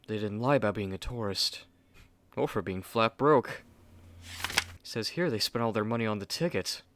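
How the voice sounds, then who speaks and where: A man's voice: lowish voice, normal speed, noisy background, natural voice. A man playing a haughty, impatient, self-important artist in an ASMR roleplay, speaking in a dry, condescending, theatrical way. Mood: musing, disdainful. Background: rain and thunder.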